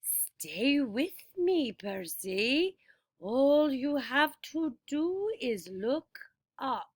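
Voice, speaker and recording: A woman's voice: high-pitched, sing-song, dry recording, clean studio sound, heavily acted. Woman doing a purring old monster woman. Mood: soothing. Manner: slow soft purring voice. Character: old female monster, sweetly soothing, menacing.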